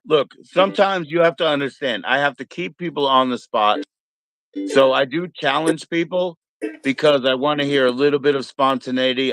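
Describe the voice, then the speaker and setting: A man's voice: mid-range voice, fairly bright tone, dry recording, poor audio. An American man chatting casually as a livestream host, in a laid-back, slangy, encouraging way. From Maryland, United States.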